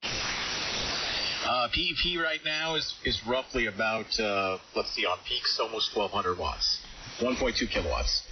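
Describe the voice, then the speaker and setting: A man's voice: lowish voice, unhurried. A man chatting casually as an amateur radio operator over a two-way radio, thinking aloud and reading out figures in an informal way. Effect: two-way ham radio transmission. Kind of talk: casual radio chat, talking through equipment readings. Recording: hissy, dull, distant shortwave radio audio.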